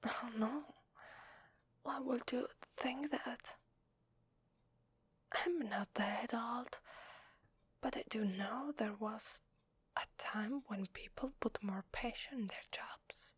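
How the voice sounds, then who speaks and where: A woman with an Italian accent playing a shy, secretly needy vampire lady in a hesitant, breathy whisper for an ASMR roleplay. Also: on the high side, slow pace, long pauses, no room sound, full character voice. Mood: polite, hesitant. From Italy. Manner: hesitant, polite, soft-spoken. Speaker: ASMR voice actor.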